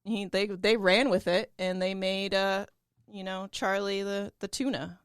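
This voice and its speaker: A woman's voice: medium pitch, little pitch movement, unhurried, clear, resonant tone, no echo, studio quality. A woman co-hosting a podcast, chatting casually and telling a story with frequent laughs. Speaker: podcast co-host.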